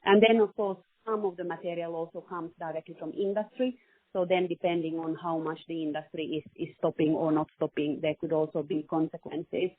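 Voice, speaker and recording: A woman speaking as a company finance chief on a conference call, giving careful, measured and spontaneous answers in a business question-and-answer style. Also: low voice, fairly even intonation, breathy edge. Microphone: telephone. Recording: narrowband phone conference line.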